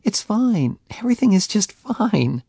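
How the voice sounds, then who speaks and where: A man's voice: high voice, animated, normal speed, creaky, darkish tone, breathy edge, dry recording, clean studio sound, pitched above the performer's own voice, exaggerated voice. A man reading an audiobook, voicing a heartbroken young woman who pleads tearfully and flares into anger. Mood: hurt pleading. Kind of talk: audiobook character voice.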